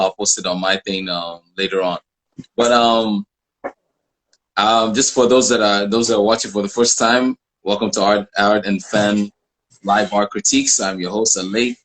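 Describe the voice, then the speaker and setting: A man's voice: on the low side, steady tone, moderate pace, fairly bright tone, slight background noise, poor audio. A man hosting a casual live interview show, speaking hesitantly and often repeating his phrases.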